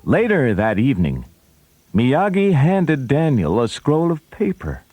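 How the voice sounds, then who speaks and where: A man's voice: mid-range voice, wildly swooping pitch, measured pace, mellow. A man narrating a children's story recording from a script in a dramatic storytelling style.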